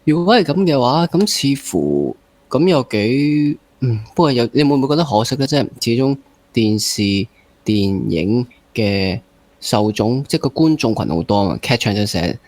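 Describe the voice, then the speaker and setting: A man with a Hong Kong accent hosting a casual interview, feeling his way through halting phrases with some English words mixed in. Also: mid-range voice, sing-song, darkish tone. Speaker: interview host.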